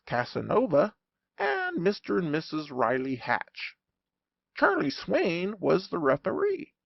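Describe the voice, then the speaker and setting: A man narrating a humorous audiobook in an animated, wry storytelling manner. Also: a little high, dry recording, dull audio.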